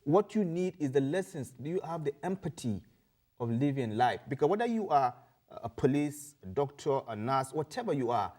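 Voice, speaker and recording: A man's voice: a little high, very animated, normal speed, a little mellow. A man from Nigeria with a Nigerian accent, a guest in a casual interview, talking earnestly and often repeating words as he searches for them.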